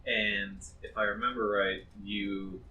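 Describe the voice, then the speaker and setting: A man's voice: on the low side, little pitch movement, slow pace, dull audio. A man casually narrating a tabletop game as game master. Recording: faint background noise.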